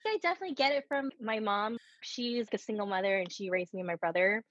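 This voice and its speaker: A woman's voice: mid-range voice, fast talker, fairly bright tone, no echo, dull audio. A woman in her thirties whose first language is Korean, talking candidly about her life in an interview and laughing often as she tells stories. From United States. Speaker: startup co-founder guest. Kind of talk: casual interview conversation.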